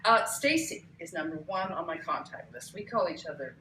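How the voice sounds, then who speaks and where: A woman playing a loud, bossy older TV producer, self-important and brisk, at a live table read. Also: lowish voice, lively intonation, lightly acted. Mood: chummy, boastful. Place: cramped bookstore, live reading without microphone. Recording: echoey live room, distant mic, faint noise.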